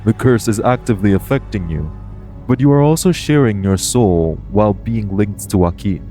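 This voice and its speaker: A composed celestial envoy acted by a man speaks in a low, very mellow voice. The delivery is formal, measured and solemn, like an audio drama negotiator, with music underneath. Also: lively intonation. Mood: calm, persuasive. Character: composed, formal male angel of the heavens, persuasive. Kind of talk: audio roleplay acting.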